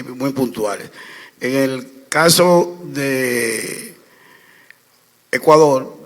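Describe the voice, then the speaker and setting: A man of middling pitch answers a panel question, telling anecdotes and pausing and restarting often. His voice carries a long reverb from a large conference hall. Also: a bit bright. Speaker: panelist, trade representative.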